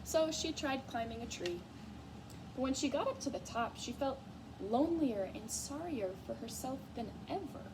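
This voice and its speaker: A woman reading a children's story aloud in an expressive narrating style. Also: high voice, moderate pace. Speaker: storybook reader. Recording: clear background noise.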